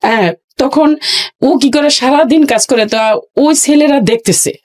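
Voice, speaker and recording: A Bangladeshi woman speaks in a slightly high voice as a guest on an interview show. She tells a personal story in colloquial speech. Her delivery is halting and circles back over phrases. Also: a little mellow. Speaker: guest telling own life story.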